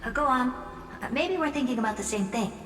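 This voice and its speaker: A woman's voice: sits high, lively intonation, fast talker, fairly bright tone, slight background noise. A woman playing a shrewd genius in a video game, talking in an informal, knowing way. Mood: casual, knowing. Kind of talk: video game story acting. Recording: distant, reverberant hall, music behind.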